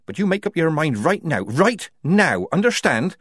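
A woman's voice: low voice, very animated, normal speed, husky, no echo, clean studio sound, voice pushed up, heavily acted. A man voicing a firm young female soldier who leads her squad and snaps orders. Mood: angry. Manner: commanding, snapping.

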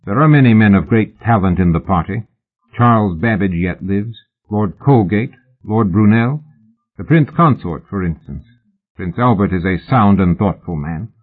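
A man's voice: fairly low pitch, expressive, normal speed, narrow, tinny audio. A man voicing a sober, sceptical man in an audiobook, speaking with firm control. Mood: firm, measured. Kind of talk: audiobook character acting.

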